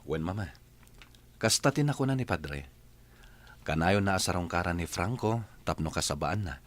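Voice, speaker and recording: A man acts as a dutiful brother in an Ilocano radio drama. His voice sits very low. He speaks in a measured, respectful way, serious and forgiving. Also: lively intonation.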